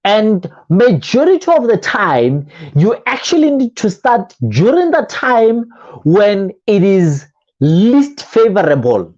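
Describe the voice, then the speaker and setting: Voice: mid-range voice, very animated, slow, darkish tone, highs cut off. A motivational coach giving a talk full of rhetorical questions and repeated phrases, often restarting words.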